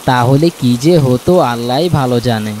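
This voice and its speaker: A man's voice: mid-range voice, moderate pace, noisy background, natural voice. A man voicing a humble village farmer, an affectionate father and husband, in a cartoon. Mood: grateful.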